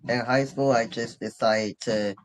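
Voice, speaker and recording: A man's voice: mid-range voice, fairly even intonation, measured pace, low-quality recording. A man of twenty from Connecticut, a rapper guest talking casually and laughing often. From Bridgeport, Connecticut. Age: 20s. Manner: laid-back, laughs often, slangy. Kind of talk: casual interview chat.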